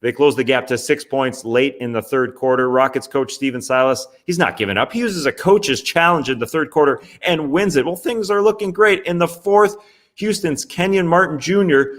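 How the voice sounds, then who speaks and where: A man's voice: fairly high pitch, normal speed, a bit bright. A young man hosting a basketball recap show, talking in a casual, chatty way with wry asides. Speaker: sports podcast host. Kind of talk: NBA recap commentary.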